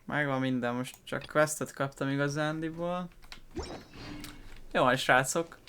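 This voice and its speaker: A man's voice: medium pitch, steady tone, a little slow, fairly bright tone, a little hiss or hum. A young man with a Hungarian accent giving casual, off-the-cuff gaming commentary, slangy and profane, rambling and trailing off. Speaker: gaming YouTuber.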